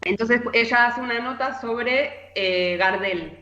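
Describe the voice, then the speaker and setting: A woman's voice: a little high, takes it slowly, sharp tone. A woman with an Argentine accent giving a chatty, informal radio column full of anecdotes as a literary columnist. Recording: echoey, slightly dull remote audio.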